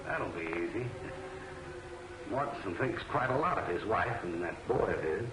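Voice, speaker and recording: An actor playing a cold, matter-of-fact crooked lawyer with an American accent, in 1940s film serial style. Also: male voice, low-pitched, lively intonation, normal speed, background noise. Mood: cool, sinister. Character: smooth, cold male crooked lawyer henchman. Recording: echoey vintage soundtrack, music underneath.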